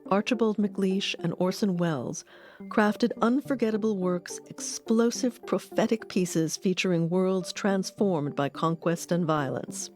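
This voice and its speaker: A woman narrates a documentary from a script at a middle pitch. The delivery is measured and articulate. Faint music runs underneath. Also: a little mellow.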